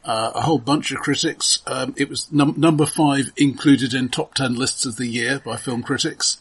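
A male podcast co-host with a husky, slightly breathy voice at an average pitch. He chats about films casually, with a dry, measured manner and an eye for exact detail. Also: steady tone, fairly bright tone, rough recording. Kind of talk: casual film discussion.

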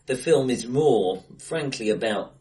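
A man with a mid-range voice talks casually as a co-host in a bright-sounding room. His phrasing is halting and stop-start, broken up by emphatic bursts. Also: mid-range voice, expressive. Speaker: podcast co-host. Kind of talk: casual film discussion. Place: acoustically bright room, face to face.

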